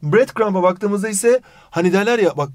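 A man's voice: high-pitched, expressive, moderate pace, no room sound, studio quality. A man with a Turkish accent teaching an English lesson in a jokey way, playfully scolding as he goes. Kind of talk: scripted lesson with playful banter.

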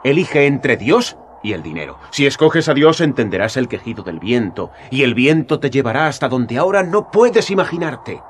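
A man's voice: mid-range voice, big pitch swings, moderate pace, few pauses, a little hiss or hum, natural voice. A man playing young Jesus, earnest and urging. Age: young adult. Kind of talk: radio drama acting.

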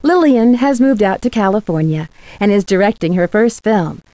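A woman playing a curious, polite young female detective in a video game, with earnest, clearly enunciated scripted delivery. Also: mid-range voice, expressive, normal speed, mellow, no room sound, close to an everyday voice. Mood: cheerful, confiding. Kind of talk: scripted game voice lines and letter narration.

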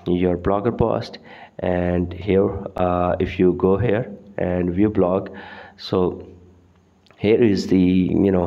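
A man whose first language is Urdu gives a screen-recorded tutorial, halting often with fillers and restarts. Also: sits low, mellow, muffled recording. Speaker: tutorial host.

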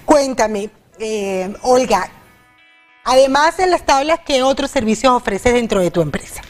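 Woman TV host, fairly high voice, lapel mic in a studio kitchen, upbeat and talking to the audience. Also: lively intonation, a little slow, soft background music. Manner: upbeat, addresses viewers directly. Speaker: TV show host. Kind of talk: cooking segment hosting.

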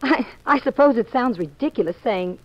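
A woman's voice: a little high, animated, normal speed. An actress playing an anxious, polite married woman in danger in a mid-century radio mystery, genteel and fretful. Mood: grateful, uneasy. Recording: dull old radio recording.

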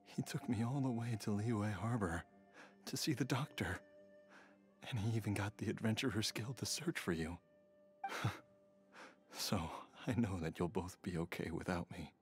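An actor playing a dying middle-aged husband and father, speaking softly and with quiet resignation. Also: on the low side, moderate pace, faint music behind, close to an everyday voice. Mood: tender. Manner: soft, resigned, wistful.

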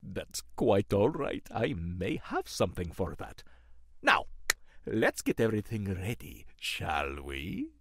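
Dude playing polite old-timey gentleman host in a horror audio play, super expressive, gets alarmed easily. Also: fairly low pitch, takes it slowly, vocal fry, background noise, pitched below the performer's own voice, heavily acted. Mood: courteous, reassuring. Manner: polite, old-fashioned, theatrical. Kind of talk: gothic horror radio play acting.

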